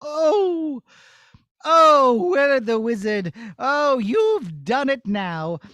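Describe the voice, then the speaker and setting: A woman playing a high, excitable princess. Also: drawn-out words, no room sound, heavily acted. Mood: indignant. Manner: gasping, exclaiming, talking to children. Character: excitable young princess, dramatic and chatty. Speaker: puppeteer for children.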